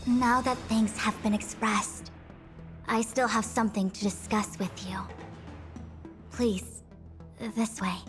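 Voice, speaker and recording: A woman voicing a dignified, authoritative young diviner and acting general in a video game, speaking formally and with measured eloquence. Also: fairly high pitch, steady tone, music in the background. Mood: composed, businesslike. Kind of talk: video game character dialogue.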